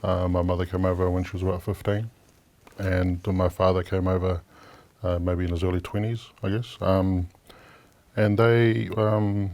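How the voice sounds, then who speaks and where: A man with a New Zealand accent, relaxed and a little hesitant, asking questions and sharing his background in a studio chat. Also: low-pitched, moderate pace. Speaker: guest co-host asking questions. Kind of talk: casual interview chat.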